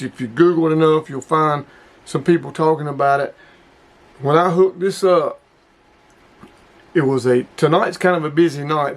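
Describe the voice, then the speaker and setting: A middle-aged man talks in a casual vlog style as a hobbyist showing off gear. His voice sits a little higher than most men's. He comes across as folksy and plain-spoken. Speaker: hobbyist video host. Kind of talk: casual product review vlog.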